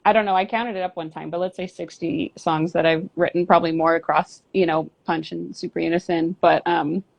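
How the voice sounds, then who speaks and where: A woman's voice: fairly low pitch, steady tone, a little fast, gravelly. A woman musician chatting candidly as an interview guest, rambling with fillers and restarts. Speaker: band singer and bassist, guest. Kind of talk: casual interview chat. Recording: lo-fi dull audio.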